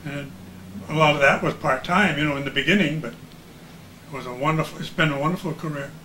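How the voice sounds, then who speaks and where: Older American man, high-pitched, echoey room. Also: a little fast, bright, forceful tone. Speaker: retired radio announcer as guest. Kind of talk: reminiscing casual chat. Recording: echoey room with background noise.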